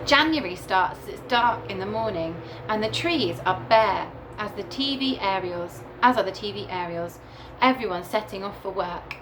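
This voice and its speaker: A woman teacher reading a picture book aloud to young children in a deliberate, animated storytelling way. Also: mid-range voice, noisy background. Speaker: primary school teacher reading to her class.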